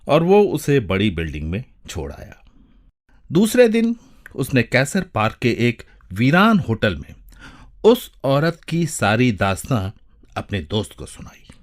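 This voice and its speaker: A man giving a dramatic, measured narration of a short story, with deliberate pauses. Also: fairly low pitch. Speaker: voice-over narrator.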